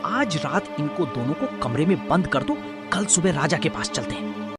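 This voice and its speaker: A man's voice: a little high, expressive, moderate pace, over music, lightly acted. A man voicing an angry, threatening elder-brother farmer in a cartoon moral story.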